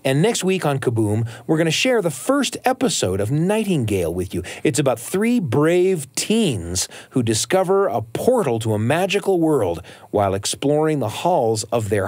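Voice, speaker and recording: A man's voice: medium pitch, big pitch swings, normal speed, hardly any pauses, a little mellow, no room sound. A man hosting a podcast in an earnest, reflective, conversational way, partly from a script. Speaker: podcast host.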